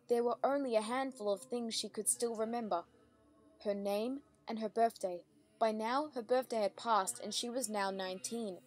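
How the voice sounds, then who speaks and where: A woman reading scripted story narration as the narrator of a dramatic reading. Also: a little high. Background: faint music.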